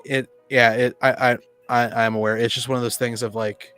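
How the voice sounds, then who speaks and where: A man's voice: mid-range voice, flat delivery, moderate pace, fairly bright tone, smooth, soft background music. A man in a casual chat, opinionated, often stammering as he restarts his sentences. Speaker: podcast co-speaker, experienced game master.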